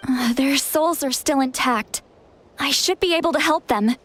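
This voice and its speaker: A woman's voice: sits high, moderate pace, over music, natural voice. A woman playing an earnest, caring young female spirit guide in a game, with a pleading manner. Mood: earnest, urgent. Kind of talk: English game dub acting.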